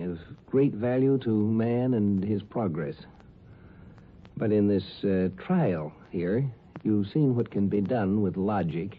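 Husky grave orator. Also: male voice, fairly low pitch. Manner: measured, earnest. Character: grave, principled man addressing a trial. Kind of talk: 1950s radio drama oratory. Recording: tinny 1950s radio recording.

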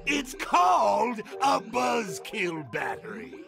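An actor playing a gleeful, mocking evil circus clown with theatrical delivery in a cartoon video game. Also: male voice, high voice, wildly swooping pitch, slow, vocal fry, exaggerated voice. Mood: menacing taunting. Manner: theatrical, mocking, shouting often. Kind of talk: animated video game cutscene acting. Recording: music behind the voice.

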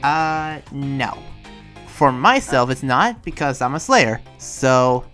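A person improvising a relaxed demon slayer in a game roleplay, with a hesitant, halting delivery. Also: mid-range voice. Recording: music behind the voice.

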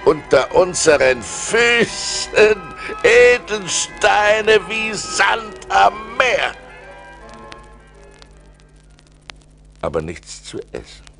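An actor playing a male seafarer who tells his adventures in a slow, theatrical radio-play style, with dramatic swings, on an old vinyl record. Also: high-pitched, sing-song, creaky, faint music behind, full character voice. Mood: intense. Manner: dramatic, slow, swinging between hushed and exclaiming.

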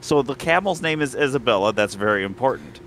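A man's voice: mid-range voice, animated, a little fast, a bit bright, a little hiss or hum. A man chatting casually as he plays a co-op video game. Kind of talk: casual gameplay chat.

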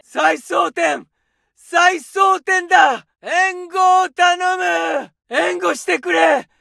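An actor playing a young male infantry soldier in a war video game, barking short orders with a gravelly edge. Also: extremely high pitch, extremely slow, pushed and bright, dry recording, raised voice, heavily acted. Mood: urgent. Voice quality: gravelly, barked commands. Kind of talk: shouted game voice lines. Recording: dry studio recording.

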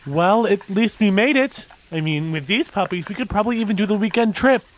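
A man's voice: high-pitched, a little fast, lightly acted. A man playing an easygoing, affectionate young adventurer in an audio drama, upbeat and casual. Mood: playful.

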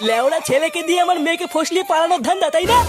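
A man voicing a hot-tempered, foul-mouthed cartoon father in an artificially high voice, blustering and scolding crudely. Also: rapid-fire. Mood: furious. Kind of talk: comedy cartoon voice acting.

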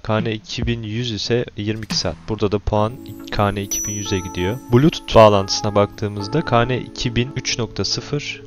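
A man giving an explanatory product comparison as a reviewer in voice-over. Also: medium pitch. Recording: dull lo-fi audio under music. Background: music.

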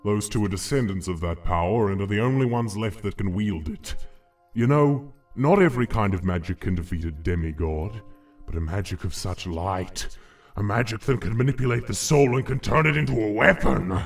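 A man voicing a scheming, brooding cartoon Devil in a theatrical, gravelly voice for a comic dub. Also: on the low side, recorded from a distance, faint music behind. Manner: theatrical, brooding, drawn-out musing. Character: scheming, covetous Devil, brooding over lost power. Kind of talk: animated comic dub acting.

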